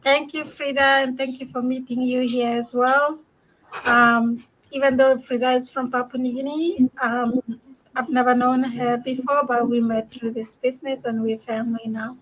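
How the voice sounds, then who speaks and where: A woman from Bougainville hosts a relaxed online community call from her home. Her voice sits high, and she laughs easily and thanks each guest warmly. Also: normal speed, low-quality recording. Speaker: host. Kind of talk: casual online community chat.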